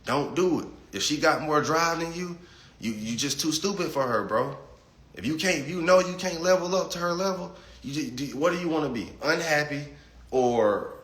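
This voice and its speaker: Man, late 20s, blunt profane streamer; mid-pitched, echoey room. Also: a bit bright. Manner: blunt, profane, repeats words when starting sentences. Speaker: livestream host reading chat. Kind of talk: blunt casual livestream talk.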